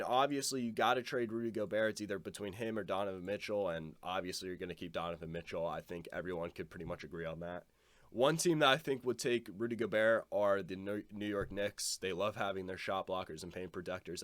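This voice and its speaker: A man's voice: on the low side, fairly bright tone, no room sound. A young man with a stuffed-up nose giving a casual, blunt basketball opinion monologue, swearing now and then. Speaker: basketball commentator.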